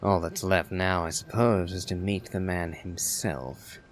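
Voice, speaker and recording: A man giving low-key video game playthrough commentary, musing in a measured, wry way. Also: steady tone, a little hiss or hum. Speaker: gamer playing and voicing reactions. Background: game music.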